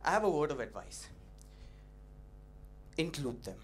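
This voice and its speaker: Young comedian, punchy delivery. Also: male voice, on the high side, a little slow. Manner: punchy, animated, teasing the audience. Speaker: stand-up comedian. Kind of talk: stand-up comedy set. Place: comedy club stage. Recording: noisy live club recording.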